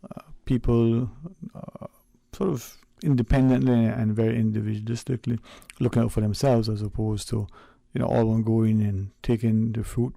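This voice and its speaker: A man hosting a radio talk show in a studio, speaking in a hesitant, unhurried way with many fillers. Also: fairly low pitch, creaky, warm tone, no room sound.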